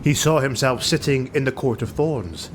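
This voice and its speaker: A man's voice: medium pitch, lively intonation, moderate pace. A man narrating a fantasy audiobook in a dramatic, scripted storytelling style. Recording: noisy home recording.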